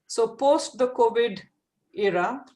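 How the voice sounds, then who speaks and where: Woman asking halting questions on a webinar. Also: fairly high pitch, a little mellow. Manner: halting, repeats words while searching for phrasing. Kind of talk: webinar discussion. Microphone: video call.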